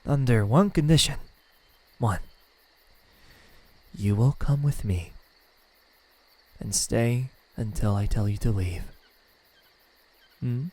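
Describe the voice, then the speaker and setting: A man playing a lonely immortal demon in an ASMR roleplay, haughty and halting, secretly tender, with a husky voice. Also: mid-range voice, a little mellow. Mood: menacing, smug. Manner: slow, halting, condescending speech with stammers and sighs. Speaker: voice actor.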